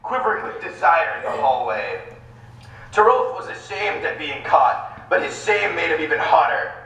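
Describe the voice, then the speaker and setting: A man telling a story for laughs in an animated, theatrical way, recorded at a distance with noise. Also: medium pitch, normal speed. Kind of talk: humorous storytelling and mock-dramatic reading. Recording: roomy, distant, clearly noisy sound.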